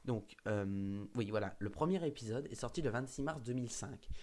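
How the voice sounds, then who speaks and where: A man's voice: mid-range voice, moderate pace, a little mellow. Man with a cold, chatting. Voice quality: hesitant, many fillers and restarts. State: has a cold. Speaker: host. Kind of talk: casual fan discussion.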